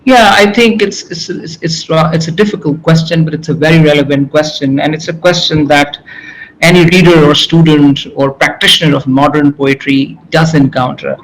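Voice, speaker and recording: Pakistani guy, fairly high voice, keeps saying you know and you see, some faint noise behind. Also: fairly even intonation, low-quality recording. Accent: Pakistani English. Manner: halting, repeats words, many "you know" and "you see" fillers. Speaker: poet and English professor, guest. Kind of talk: reflective interview answers.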